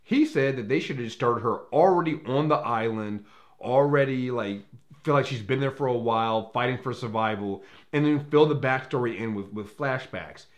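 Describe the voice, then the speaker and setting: A man's voice: medium pitch, moderate pace. Male film reviewer, casual and unscripted, opinionated, emphatic delivery, mid-range voice, freely profane.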